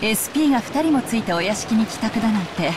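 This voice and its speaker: An actress playing a cool, sharp-witted wife who talks in a dry, wry, confident way. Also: female voice, fairly high pitch, lively intonation, racing, background noise, lightly acted.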